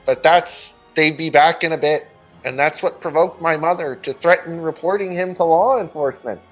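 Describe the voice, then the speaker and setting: A man's voice: fairly high pitch, moderate pace, a bit bright. A man putting on an old person's voice to read a written account aloud with mock drama. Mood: theatrical. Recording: dull lo-fi sound with music behind.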